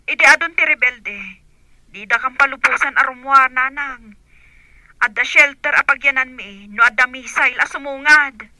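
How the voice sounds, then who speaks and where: A woman's voice: sits high, normal speed, pushed and bright, no echo, poor audio. A woman acting a weary grown daughter fleeing war, earnest and plaintive, in an Ilocano-accented radio drama. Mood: distressed, weary.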